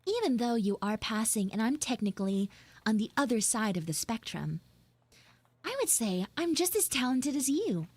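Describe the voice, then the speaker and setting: A woman voicing a flustered young tsundere schoolgirl in a live audio roleplay, halting, awkward and defensive. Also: a little high, slightly rough, dry recording, heavily acted. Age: young, school student. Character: flustered tsundere frog girl, failing art student.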